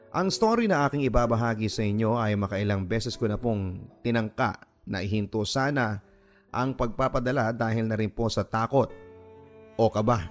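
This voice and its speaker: A man with a Filipino accent hosting a radio story program, reading listener letters as dramatic first-person narration. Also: fairly low pitch. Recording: music under the voice. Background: background music.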